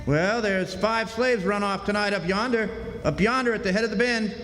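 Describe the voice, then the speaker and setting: A man's voice: high-pitched, normal speed, fairly bright tone, soft background music. A man playing a commanding armed slave hunter in a radio play, hailing in a bossy manner. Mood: commanding, suspicious. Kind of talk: stage radio drama acting. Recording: echoey hall, noisy, off mic.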